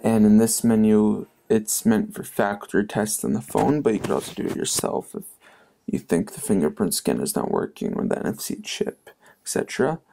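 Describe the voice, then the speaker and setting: A man's voice: fairly low pitch, moderate pace, vocal fry, dark tone, faint music behind. A man hosting a tech channel, talking offhand in a casual phone tutorial as he taps through screens. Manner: offhand, talking while tapping through tests.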